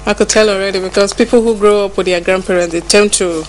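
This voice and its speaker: Female TV host, mid-pitched, curious and encouraging, faint hum behind. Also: steady tone, fast talker. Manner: curious, encouraging, with short affirming hums. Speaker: TV interviewer host. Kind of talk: TV interview.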